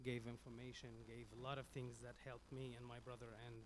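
A man from Syria with a Syrian Arabic accent, Arabic his first language, giving an earnest, halting public speech into a stage microphone as a community speaker. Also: medium pitch, monotone, noisy background. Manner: earnest, halting, with many restarts.

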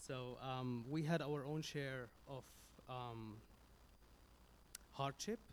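A man from Syria with a Syrian Arabic accent, Arabic his first language, giving an earnest, halting public speech into a stage microphone as a community speaker. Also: medium pitch, slight background noise. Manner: earnest, halting, with many restarts.

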